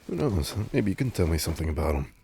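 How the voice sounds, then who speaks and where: A man playing a nervous, tender vampire in an intimate audio roleplay, speaking softly and hesitantly to the listener as he resists his hunger. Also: rumbling low, very animated, fast, creaky, slight background noise, exaggerated voice. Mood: tender, teasing. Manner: hesitant, stammering, soft-spoken.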